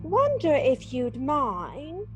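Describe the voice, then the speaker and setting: An actress playing a coy, sweet young Edwardian wife in a period radio drama, hesitant and wheedling. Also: female voice, high voice, huge pitch swings, extremely slow, muffled recording, soft background music, heavily acted. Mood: sweetly wheedling.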